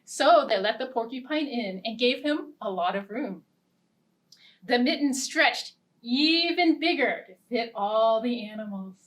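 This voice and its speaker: A woman librarian leading a children's storytime, singing simple repeated verses and reading a picture-book story in a gentle, expressive way. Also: fairly high pitch, measured pace, far from the mic, poor audio. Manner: gentle, sing-song and expressive. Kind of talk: children's storytime with songs and ukulele.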